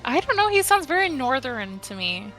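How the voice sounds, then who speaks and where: A woman's voice: high voice, big pitch swings, moderate pace, sharp tone, soft background music, poor audio. A woman chatting casually on a livestream, inquisitive and blunt in her questions. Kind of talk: casual chat.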